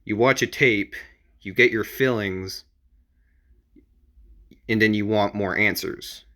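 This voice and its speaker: A man giving casual advice as an amateur video creator, explaining in an informal, halting and repetitive way. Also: on the low side, sharp tone.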